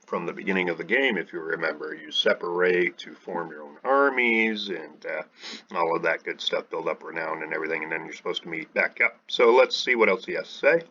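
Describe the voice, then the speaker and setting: Forceful-voiced man rambling over gameplay. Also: sits low, brisk, a little hiss or hum. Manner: rambling, many fillers, addresses viewers as guys. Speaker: gaming video host. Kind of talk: casual let's-play commentary. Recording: dull lo-fi audio with faint music.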